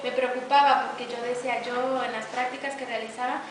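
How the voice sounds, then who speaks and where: A young woman who teaches preschool, speaking calmly and softly about her classroom in an interview. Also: a little high. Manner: soft-spoken, calm, unhurried. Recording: echoey room, distant mic, noisy background.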